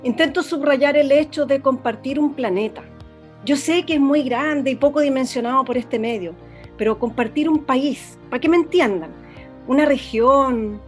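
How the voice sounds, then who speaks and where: A woman with a Chilean accent playing a radio station director and mother, speaking solemnly and pleadingly to listeners, sometimes halting to correct herself. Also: high-pitched. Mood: earnest. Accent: Chilean Spanish. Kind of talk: radio drama acting.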